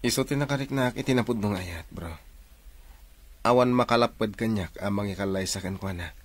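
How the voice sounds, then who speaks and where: Young Ilocano working man in love, sincere, male actor, radio drama; lowish register. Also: brisk, lightly acted. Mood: tender, sincere.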